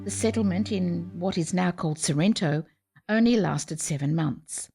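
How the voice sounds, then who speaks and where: Woman narrator, lowish voice, clear and even storytelling, music behind. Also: moderate pace. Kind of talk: scripted narration.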